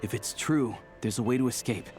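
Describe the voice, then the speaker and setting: An actor playing a serious, steady young man in a video game, speaking in a measured, earnest way. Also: mid-range voice, soft background music, natural voice. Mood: grave, steady. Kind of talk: dramatic video game acting.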